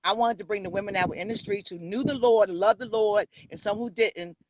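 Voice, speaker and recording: A woman's voice: mid-range voice, brisk, dry recording, muffled recording. A woman in her fifties from New York, with a New York accent, telling her story in a bold, sassy way as an interview guest. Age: 50s. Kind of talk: casual interview.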